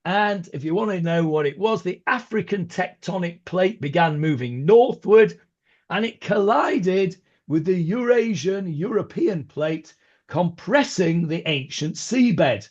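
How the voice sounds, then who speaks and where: Brit guy teaching an online class, slow and kinda high, lots of ums, muffled call audio. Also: animated, darkish tone. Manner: hesitant, many ums and restarts, chatty asides. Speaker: rabbi teaching a Talmud class. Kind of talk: informal online study class. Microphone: video call. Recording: dull lo-fi video call audio.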